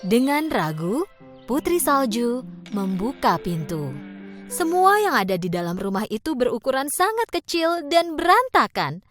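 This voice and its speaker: A woman narrating a children's fairy tale from a script, in an expressive storytelling manner. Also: high-pitched, a little slow, faint music behind.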